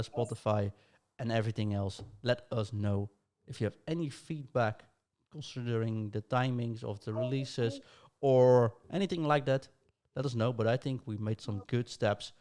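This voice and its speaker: A man's voice: mid-range voice, a little mellow. Dutch-accented man hosting a podcast. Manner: rambling, with fillers and restarts. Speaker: podcast host. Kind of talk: casual podcast announcement.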